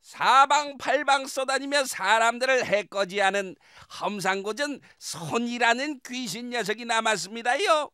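A woman's voice: on the high side, measured pace, few pauses, bright, forceful tone, clear, resonant tone, no echo, studio quality. A woman voicing a servile male royal servant who announces in stiff, old-fashioned court speech.

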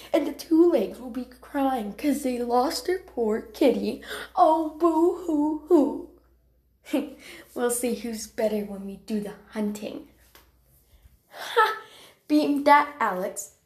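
A woman's voice: sits high, darkish tone. A woman voicing a cocky, boastful young warrior cat in amateur character voice lines, with a dramatic, teasing manner. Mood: taunting. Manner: bragging, taunting, dramatic. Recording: roomy home recording.

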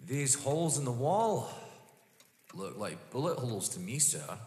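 A man acting in an audio drama as a dogged, stern police inspector, pointedly courteous, with a rough, husky voice. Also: mid-range voice, very animated, a little slow, a little mellow, a little hiss or hum. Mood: probing. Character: dogged, stern male police inspector, dryly polite and accusing. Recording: long echoing hall reverb.

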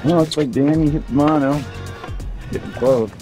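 A man's voice: on the high side, fairly even intonation, normal speed, music in the background. A man giving casual running commentary on fishing, outdoors on a boat, recorded on a handheld camera. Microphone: handheld camera mic.

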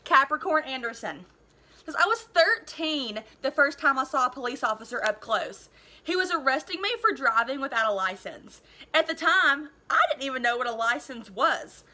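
A woman's voice: high-pitched, sharp tone. A woman in her thirties reading a children's novel aloud expressively, like a teacher. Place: living room at home.

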